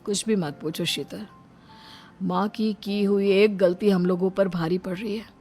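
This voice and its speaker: A woman's voice: medium pitch, steady tone, a little slow, mellow, soft background music. A woman voicing an earnest, troubled son in a cartoon drama, torn between his mother and his wife. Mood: reproachful. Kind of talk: melodramatic Hindi cartoon voice acting.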